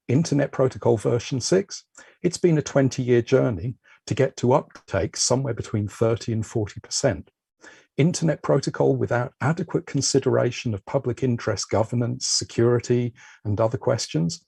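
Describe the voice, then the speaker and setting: A man giving a measured, explanatory talk as a panel speaker on a video call. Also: mid-range voice, vocal fry, mellow, slightly rough, no room sound. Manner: measured, explanatory, uses metaphors.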